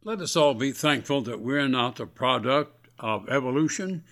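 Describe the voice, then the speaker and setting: A man's voice: mid-range voice, animated, moderate pace, a bit bright. Male radio preacher, scratchy voice, folksy and earnest. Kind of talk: radio sermon.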